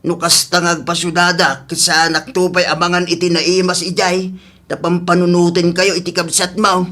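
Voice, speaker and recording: A man's voice: high-pitched, completely flat delivery, moderate pace, bright, forceful tone. Older rural Filipino father, Ilocano accent, fretful, questioning, radio drama delivery. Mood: earnest, defensive. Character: worried, thrifty old rural father, gently reproving.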